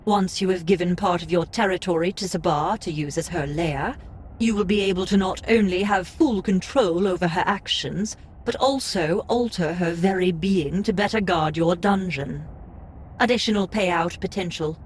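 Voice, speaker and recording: A cool, formal game system interface reads out its notices in an audiobook. The voice is low for a woman and husky, with a measured, official delivery.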